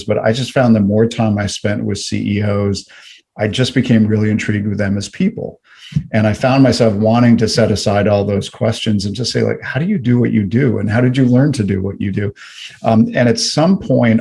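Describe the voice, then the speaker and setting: A middle-aged man from Canada speaks in a low, gravelly voice. He answers interview questions in a thoughtful way, often restarting his sentences with fillers. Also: dark tone. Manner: reflective, many fillers and restarts. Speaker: guest. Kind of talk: conversational interview.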